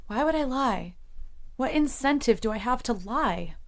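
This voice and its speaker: A woman's voice: on the high side, animated, a little slow, vocal fry. A troubled, defiant young woman. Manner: defensive, intense. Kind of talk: audio drama acting.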